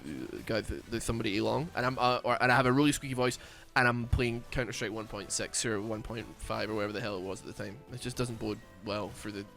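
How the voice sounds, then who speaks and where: A man's voice: mid-range voice, fairly even intonation, quick pace, hardly any pauses, a bit bright, faint music behind. A Scottish man with a Glaswegian accent and a lisp, mumbling and rambling in casual chat while streaming a game. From Scotland. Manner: mumbling, rambling with many fillers.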